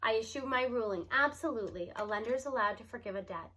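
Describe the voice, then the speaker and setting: A woman reading a children's novel aloud in an expressive storytelling style, as narrator. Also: on the high side, fast.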